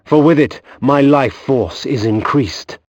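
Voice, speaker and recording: A man's voice: medium pitch, slow, darkish tone, no echo, muffled recording. An actor playing a brooding, vengeful vampire nobleman, narrating a game in a theatrical, grave and ornate manner. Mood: grave, solemn. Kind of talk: dramatic scripted game narration.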